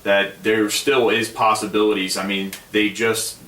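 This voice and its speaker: A lowish, bright-toned man chats easily on a gaming podcast, circling his point with plenty of "like" and "I mean". He sits a little back from the mic in an echoey room. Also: flat delivery, unhurried, recorded from a distance. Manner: easygoing, argues his point with many fillers. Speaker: podcast co-host. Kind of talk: casual gaming podcast chat.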